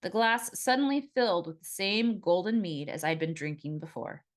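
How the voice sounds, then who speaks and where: A woman reading her own novel aloud as scripted first-person audiobook narration, in an expressive storytelling manner. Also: lowish voice, moderate pace.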